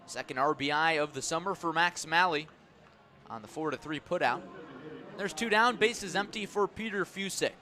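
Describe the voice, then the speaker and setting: Male baseball play-by-play radio voice, slightly high and bright, measured delivery that builds to urgent calls. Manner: measured between pitches, swelling to excited calls on plays. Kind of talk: live radio sports commentary.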